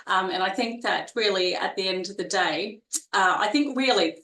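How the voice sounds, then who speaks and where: A woman's voice: mid-range voice, normal speed, pushed and bright, roomy sound, poor audio. A woman in her forties with a strong Australian accent, a nurse chatting as an interview guest, talking freely with many fillers and restarts. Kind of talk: casual interview conversation.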